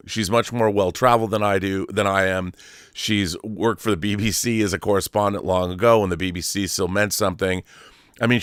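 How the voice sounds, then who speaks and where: Low-voiced man, rambling film host. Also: fairly bright tone, no room sound, studio quality. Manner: rambling, self-correcting, emphatic storytelling. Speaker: host of a film discussion channel. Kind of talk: casual unscripted monologue to viewers.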